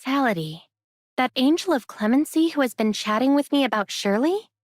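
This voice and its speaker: A woman voicing a brisk, outspoken and protective young female companion in an anime game. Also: high-pitched, expressive, no room sound, clean studio sound. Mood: surprised disgust.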